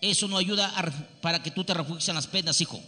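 Bright preachy radio healer man. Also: high-pitched, fast. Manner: preachy, emphatic, repetitive. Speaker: radio faith healer host. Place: radio studio. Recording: radio broadcast with reverb and music.

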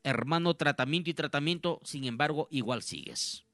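A man's voice: a little high, animated, normal speed, a bit bright, no echo. Earnest male radio healer, very dry sound. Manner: repetitive, earnest, preacher-like phrasing. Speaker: radio host and faith healer. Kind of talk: live radio address to listeners.

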